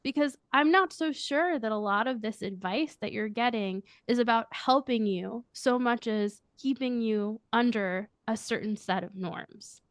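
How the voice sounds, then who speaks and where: Woman, high girlish voice, earnest, reflective, dry close sound. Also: unhurried, hardly any pauses, no echo. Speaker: guest, coach and firm leader. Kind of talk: podcast interview answers.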